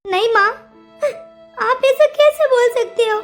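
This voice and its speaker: A woman's voice: very high voice, unhurried, faint music behind. A woman voicing a distraught, devoted young bride pleading emotionally in a cartoon melodrama. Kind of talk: melodramatic cartoon story acting.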